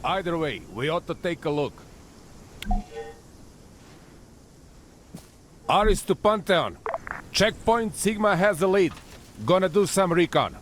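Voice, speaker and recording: High-pitched, brisk army lieutenant. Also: male voice, expressive, a little hiss or hum. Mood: focused. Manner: clipped military orders, wry. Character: brisk, dry-humoured male army lieutenant commanding a tank crew. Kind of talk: naturalistic military game dialogue. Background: loud music, vehicle sounds.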